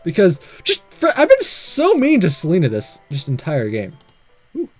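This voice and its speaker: Lively high-pitched man doing game commentary. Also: moderate pace, soft background music. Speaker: let's play host. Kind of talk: casual visual novel let's play commentary.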